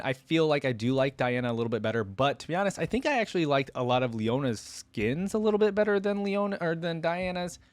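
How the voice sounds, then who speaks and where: A man's voice: medium pitch, no echo. A man chatting casually and informally to his viewers as a reaction video host, rambling conversationally as he goes. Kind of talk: casual unscripted chat to viewers.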